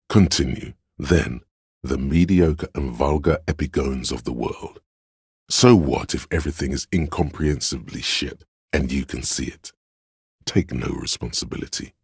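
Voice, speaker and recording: A man voicing a video game's inner voice of a pompous, grandiose art critic, in a florid, theatrical delivery with a gravelly, husky tone. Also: very deep voice, darkish tone, dry recording, clean studio sound, exaggerated voice. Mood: haughty, urging. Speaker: video game skill narrator. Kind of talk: theatrical game voice-over.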